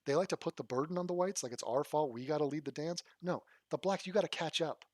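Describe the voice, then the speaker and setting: A man's voice: on the high side, fast, no room sound, studio quality. A man hosting his channel, giving blunt, rambling casual commentary and swearing freely. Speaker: channel host reacting to a clip.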